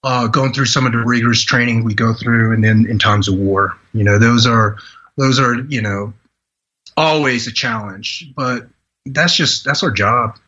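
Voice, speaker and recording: A man in his forties from Vietnam, a podcast guest telling his life story in an earnest, halting way with many restarts. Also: mid-range voice, pushed and bright, muffled recording. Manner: earnest, halting delivery with frequent "you know" restarts. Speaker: podcast guest, retired Green Beret. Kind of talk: personal storytelling in a podcast interview.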